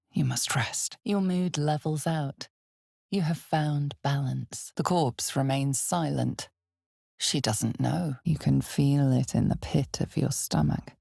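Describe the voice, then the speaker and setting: A woman voicing the grave, knowing narrator of a dark fantasy game, speaking deliberately in a husky, breathy tone with an ominous air. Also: low voice, dry recording, clean studio sound, heavily acted. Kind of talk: dramatic second-person fantasy game narration.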